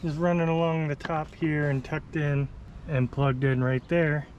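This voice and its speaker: Higher-voiced man over clear background noise. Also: level tone, measured pace, dull audio. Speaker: host demonstrating a vehicle radio installation. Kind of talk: casual hands-on how-to vlog. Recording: noisy car and outdoor ambience.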